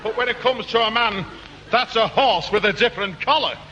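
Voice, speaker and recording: A man's voice: high-pitched, brisk, pushed and bright. An actor playing a hot-tempered, pugnacious old grandfather with a Scottish accent in a 1960s radio comedy. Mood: belligerent. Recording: muffled, echoey 1960s radio recording.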